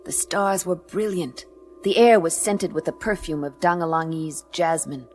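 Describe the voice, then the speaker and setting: A woman's voice: on the low side, steady tone, moderate pace, darkish tone, faint music behind, natural voice. A woman playing a tough, hard-boiled space detective, narrating a radio play in clipped, sardonic noir style. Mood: moody, wry. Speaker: lead narrator.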